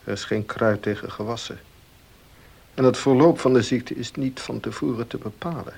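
An actor playing a formal, grave family doctor in a period radio drama, measured in delivery, with a gravelly, husky voice. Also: male voice, medium pitch, darkish tone. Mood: sober, advising.

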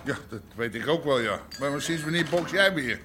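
Blunt old-school amsterdam gangster boss, gruff, pitch jumps about, bit of background noise. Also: male voice, animated, brisk, sharp tone. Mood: casual, gruff. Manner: gruff, curt, streetwise colloquial talk. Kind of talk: naturalistic radio drama acting.

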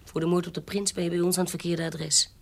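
An actress playing a shrewd, defiant adventuress in an audio period drama, guarded, cool and commanding. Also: female voice, on the low side, flat delivery, quick pace. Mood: proud, worldly. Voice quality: husky. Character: shrewd, defiant adventuress, forger and courtesan posing as noble. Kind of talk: audio drama acting in Dutch period piece.

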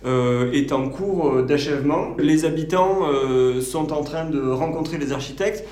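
Guy as defensive small town mayor, formal but halting, roomy echo, radio play. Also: fairly high pitch, a little mellow, close to an everyday voice. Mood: firm, defensive. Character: officious village mayor defending his project. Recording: echoey meeting room.

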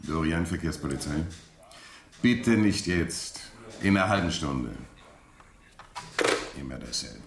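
An actor playing a dry, officious, unhurried police inspector in a German radio play. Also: male voice, extremely low pitch, lively intonation, vocal fry, reverberant, very noisy, exaggerated voice. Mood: dry, official.

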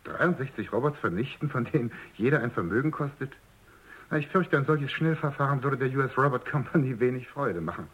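A man's voice: medium pitch, animated, brisk, breathy edge, muffled recording. A man playing a diplomatic, evasive company executive who placates and obliges in a German radio drama, on a thin radio recording. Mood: cautious, reasoning.

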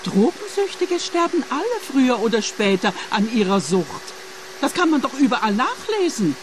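A woman's voice: sits high, very animated, moderate pace, background noise. A haughty, scheming landlady. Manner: prim, haughty, cutting. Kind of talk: radio play acting.

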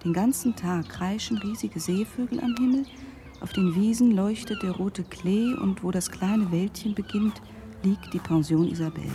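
An actress playing a gentle, dreamy letter voice in a German radio drama, reading lyrical descriptions. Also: female voice, mid-range voice, moderate pace, a little hiss or hum, close to an everyday voice. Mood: wistful, lyrical. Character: gentle, dreamy woman voicing a letter home.

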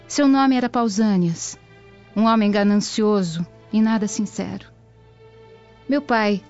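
An actress playing a tender, sorrowful young Roman granddaughter in a radio soap, earnest and formal. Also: female voice, mid-range voice, darkish tone, close to an everyday voice. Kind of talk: dramatic radio soap acting. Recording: dull lo-fi, music behind.

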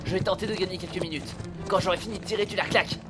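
A man's voice: high voice, fairly even intonation, very fast, natural voice. A man acting an anxious but brave, wry inventor brother in an audio drama, given to grumbling. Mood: tense, wary. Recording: loud music behind.

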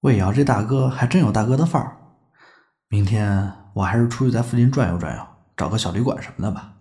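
A man's voice: fairly low pitch, quick pace, dark tone, breathy edge. A man playing an easygoing young crosstalk performer in an audio drama, chatty and teasing. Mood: easygoing, polite. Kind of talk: Chinese audio drama acting.

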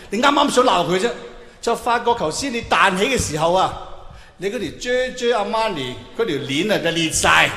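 A man's voice: sits high, fairly bright tone. A man with a Hong Kong Cantonese accent doing theatrical, exclamatory stand-up storytelling, acting out dialogue for a live audience. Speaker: stand-up comedian. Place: large hall with live audience. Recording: echoey hall with noticeable reverb, faint noise.

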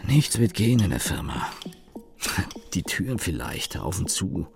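Casting: jaded engineer, low gravelly voice, dry radio-play inner monologue in fragments. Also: male voice, very animated, measured pace, music in the background, heavily acted. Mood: bitter, contemptuous. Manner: clipped fragmentary sentences, sardonic. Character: weary, cynical male office engineer, brooding inner monologue.

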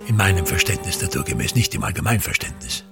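An actor playing a pompous, tyrannical older theatre manager in a radio drama, declaiming scornfully in a gravelly, husky voice. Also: male voice, low voice, animated, quick pace, loud music behind the voice. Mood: demanding. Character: pompous, tyrannical ageing male theatre actor-manager berating his son.

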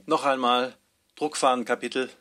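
A man's voice: medium pitch, little pitch movement, normal speed, pushed and bright. A man reading out source headings as a scripted, factual announcement.

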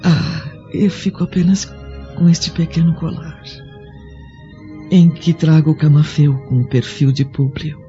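A woman playing a gentle, devout Roman noblewoman in prison, who speaks slowly and solemnly in a radio drama. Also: sits low. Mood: tender. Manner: slow, solemn and tender. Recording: dull lo-fi recording with music. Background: soft music score.

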